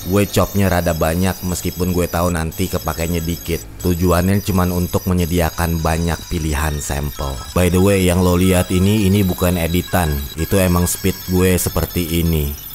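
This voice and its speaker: A man's voice: low voice, little pitch movement, moderate pace. A man, casually narrating how he makes a beat, laid-back and step by step. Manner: laid-back, explains his process step by step. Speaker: beatmaker. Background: music.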